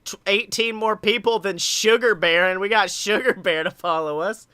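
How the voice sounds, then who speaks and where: A young man with a high, bright voice hosts a casual comedy podcast. He talks in an animated, joking way and swears freely. The sound is close and dry. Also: normal speed, clean tone, no room sound. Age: young adult. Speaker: podcast co-host. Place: bedroom-like room at home, video call.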